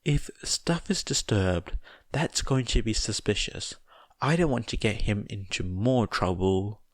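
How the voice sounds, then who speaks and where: A man's voice: fairly low pitch, unhurried, no echo. A man voicing an anxious, casual teenage student with a hesitant manner in an audiobook. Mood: uneasy, wry.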